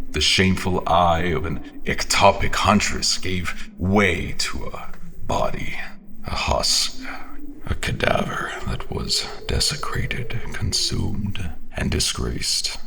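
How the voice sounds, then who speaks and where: A man playing a haunted, guilt-ridden fantasy general who narrates in a solemn, brooding, theatrical way, sometimes halting and slipping toward whispers. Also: low voice, gravelly, fairly bright tone, a little hiss or hum, exaggerated voice. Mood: reverent, mournful. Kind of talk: dramatic scripted first-person fantasy narration. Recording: background music under the voice.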